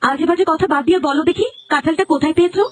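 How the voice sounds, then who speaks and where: Very high-pitched, scolding village wife, acted by a woman. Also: rapid-fire, a little hiss or hum. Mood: suspicious. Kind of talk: Bengali cartoon dubbing. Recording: music under the voice.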